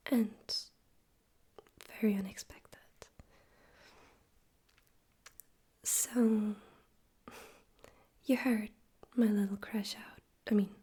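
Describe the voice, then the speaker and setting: Smug radio demon overlord played by a woman, ASMR roleplay. Mellow breathy whisper, higher-pitched, teasing and condescending. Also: exaggerated voice. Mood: amused, puzzled. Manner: slow, teasing, theatrical, condescending. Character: smug male radio demon overlord, politely menacing and amused.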